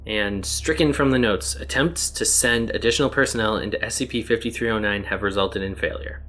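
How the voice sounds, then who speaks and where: A man's voice: fairly low pitch, a little fast, fairly bright tone. A man steadily reading fictional documents aloud and adding brief matter-of-fact asides. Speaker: reader of horror fiction documents.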